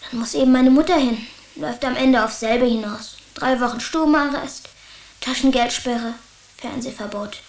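A woman acting a plucky, troubled schoolboy with a high voice. The delivery is colloquial and matter-of-fact, in radio drama style, recorded with a roomy echo. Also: moderate pace, lightly acted. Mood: glum resignation.

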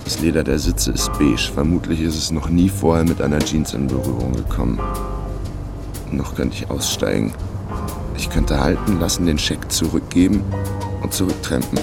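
A man's voice: very deep voice, normal speed, gravelly, over music. An actor playing a wry, laconic, down-on-his-luck man of thirty in a radio crime drama. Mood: wry, brooding. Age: 30s. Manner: laconic, sardonic. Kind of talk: radio crime drama first-person narration.

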